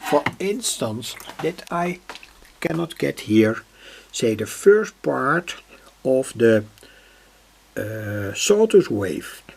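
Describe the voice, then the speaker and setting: A man giving an unscripted electronics demonstration, halting as he searches for words, with a husky, creaky voice. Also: medium pitch, big pitch swings. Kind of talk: unscripted workbench demonstration vlog. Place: indoor hobby workbench.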